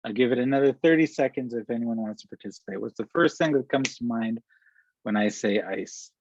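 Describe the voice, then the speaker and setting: A man's voice: medium pitch, a little fast, no room sound. A man giving a webinar presentation, talking conversationally and checking in with "okay" and "right?". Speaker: workshop presenter. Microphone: video call. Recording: dull lo-fi video call audio.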